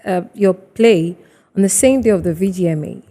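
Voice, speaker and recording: A woman's voice: medium pitch, normal speed, warm tone. A woman with a Ghanaian accent hosting a conversational TV interview in a reverberant room. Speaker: TV show host.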